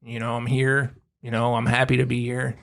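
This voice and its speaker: A man's voice: mid-range voice, completely flat delivery, moderate pace, vocal fry, a bit bright. A man co-hosting a podcast, talking in a laid-back way and swearing. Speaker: podcast co-host. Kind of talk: casual chat.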